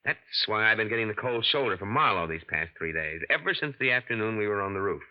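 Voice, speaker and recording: A man voices a tense young impostor who is scheming to pass as an heir. His voice sits low and he speaks in a guarded, clipped way. It has the muffled, narrow sound of an old radio broadcast. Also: expressive, fast talker, lightly acted. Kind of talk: 1940s radio drama acting.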